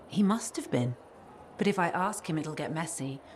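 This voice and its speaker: An actress playing a weary, caring ex-spy in a video game, speaking in a measured, earnest way. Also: female voice, deep voice, animated, slight background noise. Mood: guarded, troubled. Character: guarded, weary female ex-spy, worried caring wife. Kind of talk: video game dialogue acting.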